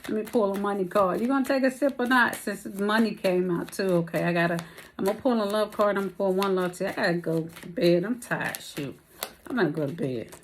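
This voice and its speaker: A woman's voice: mid-range voice, fairly quick, slight background noise. A woman giving a casual, rambling tarot reading to her viewers while losing her voice. She talks in slang and calls her listeners baby and y'all. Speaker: tarot reader.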